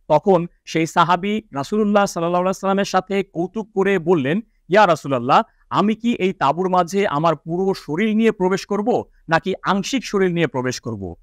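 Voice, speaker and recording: A man's voice: high voice, fairly bright tone, no echo, clean studio sound. A man presenting an Islamic lecture to viewers, speaking formally and didactically as he cites scripture. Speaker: presenter of an Islamic educational video. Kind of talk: religious lecture to viewers, citing hadith and scripture.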